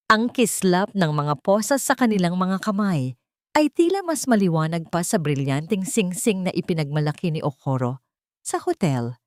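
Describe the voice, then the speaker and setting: A woman's voice: lowish voice, darkish tone, no room sound, studio quality. A woman storyteller delivering scripted, dramatic narration of an audio story.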